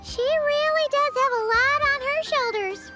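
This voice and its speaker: A woman voicing a tiny, excitable, childlike fairy companion in a video game, with a squeaky, chirpy delivery. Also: a little slow, full character voice. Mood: eager, sympathetic. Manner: refers to self in third person, chirpy. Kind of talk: animated game voice acting. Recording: music under the voice.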